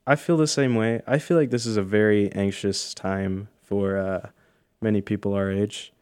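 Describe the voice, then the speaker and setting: A man's voice: lowish voice, steady tone, normal speed, a little mellow, clean tone. A man in his early twenties chatting casually with a friend, asking curious questions. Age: 20s. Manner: curious, questioning, laughs easily. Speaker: friend in conversation. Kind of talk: casual chat.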